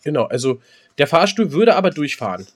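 A man chatting casually as a podcast co-host, repeating phrases excitedly as he explains. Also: on the high side, brisk. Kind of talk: casual chat.

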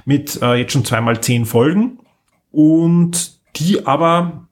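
A man's voice: fairly high pitch, takes it slowly. A man chatting casually as a podcast co-host, explaining things in an enthusiastic, rambling way. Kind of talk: casual podcast chat, recommending audio dramas.

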